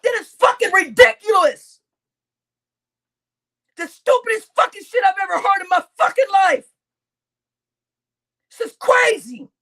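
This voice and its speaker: A woman livestreamer is on a tearful, furious rant, her voice very high and shrill. She shouts and swears without pause, going back over the same complaints, and the voice cracks into a gravelly fry. It all sounds raw and unscripted. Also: animated. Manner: shouting, heavy swearing, repetitive outbursts. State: crying. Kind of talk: angry livestream rant to chat.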